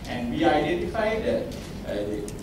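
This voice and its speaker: Halting man speaking in an echoey hall. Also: on the high side, sing-song, vocal fry. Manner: halting, many uh fillers, thankful. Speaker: industry association representative. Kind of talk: briefing remarks at a business lunch. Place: hotel conference room. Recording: echoey hall from far off, noisy.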